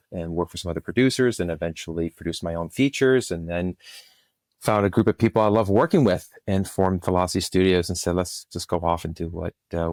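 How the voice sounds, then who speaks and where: A man's voice: low-pitched, creaky, darkish tone, dry recording. A middle-aged man speaking as a producer being interviewed, answering in an earnest, emphatic, conversational storytelling way. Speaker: guest, film and podcast producer.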